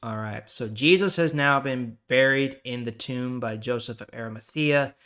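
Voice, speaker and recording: Mid-pitched man reading scripture conversationally. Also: pushed and bright, clear, resonant tone. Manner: conversational, dramatic pauses and emphasis. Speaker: host of a Bible reading series. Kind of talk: casual devotional reading and commentary.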